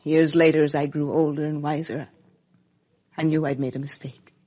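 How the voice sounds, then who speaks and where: A woman playing a regretful middle-aged actress confessing to her abandoned child in a 1950s radio soap, halting, earnest and dramatic, with a husky voice. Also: low-pitched, level tone. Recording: dull lo-fi 1950s radio recording.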